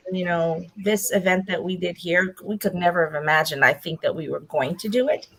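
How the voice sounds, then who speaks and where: A woman's voice: lowish voice, brisk, a bit bright, slightly rough, poor audio. A woman hosting a casual video call chat, rambling and restarting her sentences. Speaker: host.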